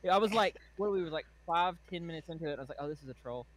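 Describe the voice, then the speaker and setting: A man guest on a casual group call, his voice sitting a little high. He stammers and restarts his sentences a lot, throwing in jokes as he goes. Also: rapid-fire, poor audio. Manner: stammering, joking. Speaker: panel guest. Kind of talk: casual chat on a video call.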